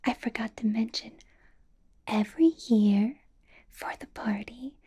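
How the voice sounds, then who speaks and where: Woman doing a whispery vampire lady boss for asmr, super breathy n slow, doting but possessive, sweetly creepy. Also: fairly high pitch. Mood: sweetly sinister. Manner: slow, coaxing, soft giggly delivery. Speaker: ASMR roleplay performer. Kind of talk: whispered ASMR roleplay, personal attention.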